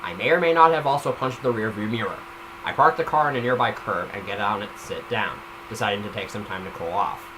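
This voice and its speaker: A man with a fairly low voice reads a story aloud in a casual, unpolished way. He stumbles and comments on the text as he goes. The home recording carries clear background noise. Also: a little fast. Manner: informal, stumbling, self-commenting. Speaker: reader of a self-written story. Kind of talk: casual amateur story reading with asides. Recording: noisy home microphone recording.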